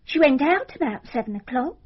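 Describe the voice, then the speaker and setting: A woman's voice: high-pitched, expressive, fairly quick, dull audio. An actress playing a respectful, shaken female servant in a radio drama, giving answers in a deferential, matter-of-fact way. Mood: helpful, respectful.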